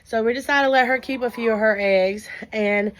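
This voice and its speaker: Hoarse woman chatting by a chicken coop. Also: on the high side, a little fast. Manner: rambling, chatty, many "uh" fillers. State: hoarse, losing her voice. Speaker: backyard chicken keeper. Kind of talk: casual vlog chat. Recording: noisy outdoor farmyard recording. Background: chickens clucking and a rooster crowing.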